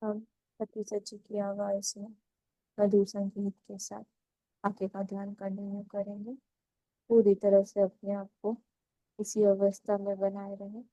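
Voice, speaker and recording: A woman leading a guided meditation in a calm, unhurried, soothing manner. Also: medium pitch, no room sound, rough recording. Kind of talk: slow guided meditation instruction.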